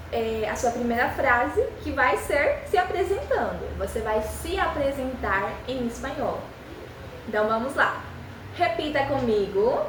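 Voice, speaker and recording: A young woman who is a native Spanish speaker, speaking Portuguese with a Spanish accent and teaching beginners with an encouraging manner, repeating phrases slowly for practice. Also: high voice, roomy sound, recorded from a distance, background noise. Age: young adult. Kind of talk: beginner language lesson.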